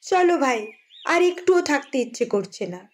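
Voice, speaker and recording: Snooty offended young lady in an audio drama, high swoopy voice, slow. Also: big pitch swings. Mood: offended, impatient. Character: haughty, offended young society woman. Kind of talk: Bengali audio story acting.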